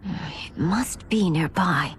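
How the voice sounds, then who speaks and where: A woman's voice: on the low side, takes it slowly, a little hiss or hum. A woman playing a fierce, determined young warrior princess in a video game. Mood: resolute. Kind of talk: video game cutscene acting. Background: music.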